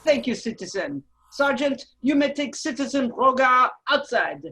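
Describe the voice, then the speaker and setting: A woman's voice: sits high, very animated, moderate pace, bright, forceful tone, heavily acted. A woman playing a cold, menacing male French revolutionary agent in an amateur radio play, clipped and coolly formal. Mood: coolly smug. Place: remote virtual table read.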